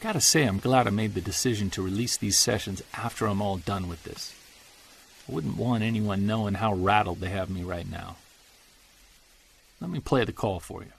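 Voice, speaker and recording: An actor playing a weary middle-aged Bigfoot investigator, narrating an audio diary in an earnest, confessional way. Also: male voice, on the low side, moderate pace, creaky, slight background noise. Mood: troubled. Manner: confessional, earnest, quietly troubled. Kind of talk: scripted audio drama narration.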